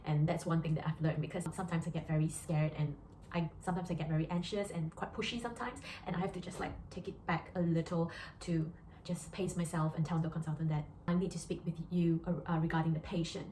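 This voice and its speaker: A young Malaysian woman vlogs about her life as a doctor. She talks in an earnest, chatty way with lots of fillers, her voice sitting a little low. The room is echoey, with a faint hiss behind her. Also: steady tone, quick pace, warm tone. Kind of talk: casual vlog monologue.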